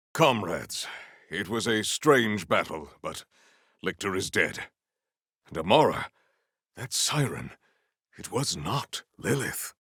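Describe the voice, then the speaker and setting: A man's voice: on the low side, gravelly, fairly bright tone, slightly rough, no echo, studio quality, heavily acted. A man playing a solemn, proud warrior in a video game, speaking with formal, stately diction and dramatic weight. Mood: measured, dry. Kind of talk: scripted game character lines.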